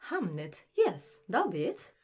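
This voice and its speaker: A woman's voice: mid-range voice, huge pitch swings, slow, slightly rough, full character voice. An elegant stage star played by a woman in a radio play, gracious and coolly indulgent. Her voice sits mid-range and rises and falls expressively with poised politeness. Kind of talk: audio drama acting.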